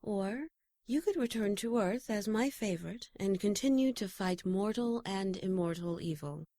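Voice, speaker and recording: A woman playing a solemn, calm guardian angel with a measured delivery in an audio drama. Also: medium pitch, no echo, clean studio sound, lightly acted.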